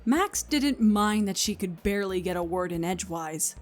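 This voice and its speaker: A woman's voice: mid-range voice, lively intonation, moderate pace. A woman reading scripted story narration as the narrator of an audio play. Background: music.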